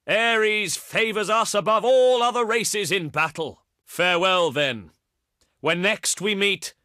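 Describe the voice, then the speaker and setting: Haughty ancient Greek diplomat, video game, highish voice, formal, eloquent, dramatic pauses, dry close sound. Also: male voice, a bit bright, no echo. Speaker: game voice actor.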